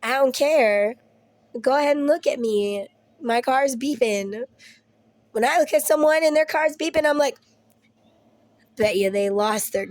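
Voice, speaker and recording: A woman's voice: a little high, darkish tone. A young woman, ill and losing her voice, chatting casually to camera at home, rambling and swearing with laughing asides. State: ill with Covid. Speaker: vlogger.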